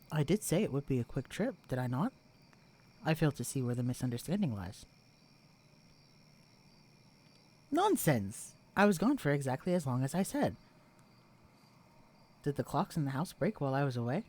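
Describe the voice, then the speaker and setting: A man voicing a stiff, formal alien posing as a husband, with stilted, pompous, overly proper diction in a scripted audio roleplay. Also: fairly high pitch. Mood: falsely cheerful, stiff.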